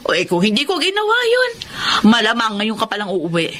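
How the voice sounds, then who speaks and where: A woman's voice: a little high, wildly swooping pitch, fairly bright tone, slight background noise. A Filipino actress playing a fed-up fisherman's wife in a radio drama, nagging, confrontational and standing her ground. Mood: angry, reproachful. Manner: nagging, confrontational, rapid-fire questioning.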